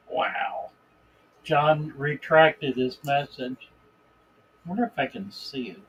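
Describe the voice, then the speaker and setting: A man hosting a live stream in a relaxed, unhurried way, greeting viewers by name and reading their comments aloud. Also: fairly high pitch, slight background noise, low-quality recording. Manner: relaxed, unhurried, chuckles between remarks. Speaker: livestream host.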